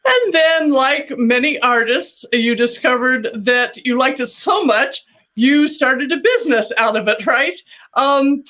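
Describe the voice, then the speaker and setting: A woman's voice: high-pitched, a little slow, sharp tone, rough recording. Woman hosting an arts web show. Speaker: host. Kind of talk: scripted web series hosting.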